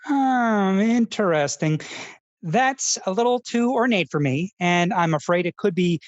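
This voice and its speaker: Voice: mid-range voice, animated, measured pace, no echo, lo-fi sound, lightly acted. A performer playing an earnest, self-serious male public radio host who speaks in a pensive, pompous, awkwardly polite way, as in a scripted feature parody.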